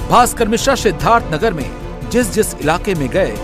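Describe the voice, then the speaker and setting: Animated high male news voice-over over music. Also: moderate pace. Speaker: news narrator. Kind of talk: dramatic scripted news voice-over.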